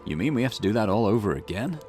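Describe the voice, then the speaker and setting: Brief: anxious young male junior cop, eager, animated pitch, acted by a male player, music under. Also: fast talker. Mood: concerned. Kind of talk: visual novel dialogue read aloud.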